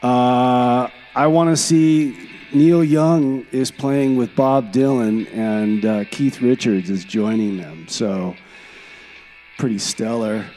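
A man's voice: medium pitch, steady tone, slow pace, mellow, soft background music, natural voice, rough recording. A man playing a laid-back time traveler, rambling offhandedly in a casual comedy radio monologue.

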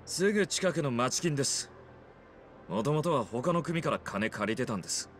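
An actor playing a young, deferential yakuza underling, respectful and earnest, in a Japanese game cutscene. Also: male voice, medium pitch, expressive, normal speed, background noise, natural voice. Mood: earnest, explaining. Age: young adult.

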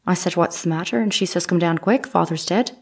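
A woman's voice: lowish voice, fairly quick, slightly rough. A woman playing a plain-spoken nineteenth-century housemaid, testifying respectfully in a courtroom audio drama. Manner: plain, deferential. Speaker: actor.

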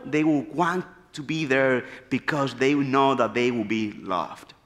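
A man delivers an earnest, animated sermon from the pulpit. His voice sits slightly high for a man. The sound carries a long reverb from the large church hall. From Dominican Republic. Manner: animated, gesturing freely, earnest storytelling. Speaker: preacher. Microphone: pulpit mic.

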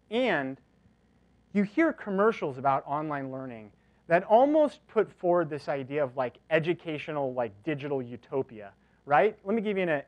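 A man in his forties giving a casual, energetic conference keynote, chatty and full of "like" fillers, in a large lecture hall. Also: fairly high pitch. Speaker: keynote speaker. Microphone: lapel mic.

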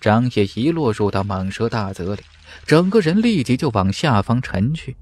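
Male audiobook narrator, medium pitch, highly animated, dramatic fantasy delivery with music behind. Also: moderate pace. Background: background music.

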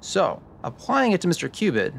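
Man playing a lively genial tax sleuth. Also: high voice, moderate pace, gravelly, a little hiss or hum, full character voice. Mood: explanatory. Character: genial, sharp male tax expert in a noir tale. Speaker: podcast host acting in a radio drama. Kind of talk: scripted radio drama dialogue.

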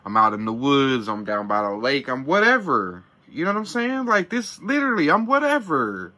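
A man in his thirties with a bright, forceful voice at an ordinary pitch, talking up a gadget in street slang and cursing freely. He sits in a cramped camper van with the camera close in front of him. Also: wildly swooping pitch, normal speed. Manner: hyped, slangy, swears a lot. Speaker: product reviewer. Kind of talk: casual profane review vlog. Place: small camper van interior.

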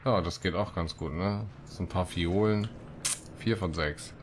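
A man's voice: low-pitched, a little slow, a little hiss or hum. A man hosting a let's play, mixing casual commentary with read-out quest texts.

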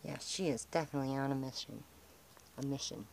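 An American woman filming outdoors on a handheld camera, talking softly to squirrels as she feeds them. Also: rumbling low, brisk, creaky, a little hiss or hum. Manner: hushed, chatty, talking to the animals. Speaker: vlogger feeding squirrels. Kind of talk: casual commentary while filming. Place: outdoors by a house, on snowy steps.

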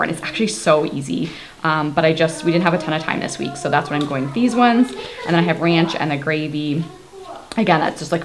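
A woman from Canada in her thirties talks casually through her groceries at home, her voice sitting low for a woman. She speaks in a loose, chatty way with plenty of "like" and "um". A steady hiss of background noise runs under the recording. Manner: chatty, lots of "like" and "um" fillers. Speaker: vlogger. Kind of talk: casual grocery haul chat. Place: kitchen at home, indoors.

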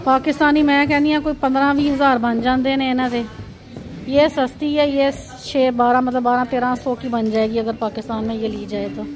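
A woman from Pakistan narrates a casual vlog tour into a handheld phone. Her voice sits high and stays fairly even in pitch, and she keeps drawing the viewer's attention to each thing she sees. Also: normal speed. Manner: pointing things out, repeating "look at this". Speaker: vlogger. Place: chocolate shop and busy shopping street.

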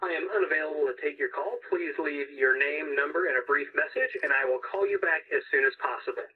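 A man's voice: fairly high pitch, expressive, fast, slightly rough. A middle-aged man reciting a voicemail greeting, played back through a telephone. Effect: telephone playback. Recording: muffled distant phone speaker playback.

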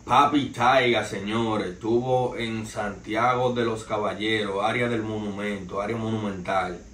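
A man's voice: on the low side, steady tone, unhurried, fairly bright tone. Dominican man, echoey living room. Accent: Dominican Spanish. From Dominican Republic. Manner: loose, street-slang storytelling, addresses "señores". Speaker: podcast host. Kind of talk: casual entertainment news commentary. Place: small living room, indoors, seated on a sofa. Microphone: far camera, no mic seen. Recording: roomy echo, distant mic, faint noise.

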